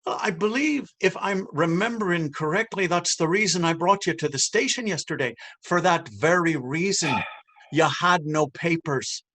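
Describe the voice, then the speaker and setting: A man's voice: on the high side, normal speed, bright, forceful tone. An actor playing a bullying, sceptical Dublin policeman in a stage reading, mocking and officious. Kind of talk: stage readers theater acting.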